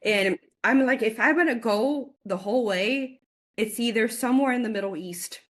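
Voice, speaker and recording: A woman in her forties, a guest in a casual interview, chatting with animated energy, often laughing and repeating words as she gets excited. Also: medium pitch. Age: 40s.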